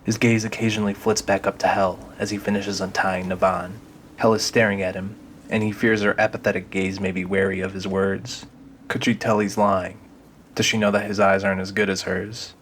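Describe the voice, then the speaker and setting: A man's voice: deep voice, flat delivery, brisk, a bit bright, slight background noise. A man narrating a scripted audio drama.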